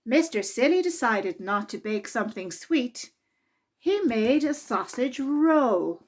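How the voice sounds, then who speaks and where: A woman with a high voice reads a children's storybook aloud at a very unhurried pace. She narrates expressively and deliberately, stretching key words for young listeners. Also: animated, breathy edge.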